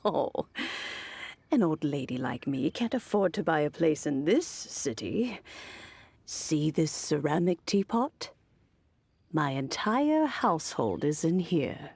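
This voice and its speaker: An actress playing a kindly, nostalgic old woman in a video game, speaking slowly and deliberately with a creaky voice. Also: low voice, very animated, dry recording, exaggerated voice. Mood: gently amused. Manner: slow, deliberate, sighing, gently teasing. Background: soft game music.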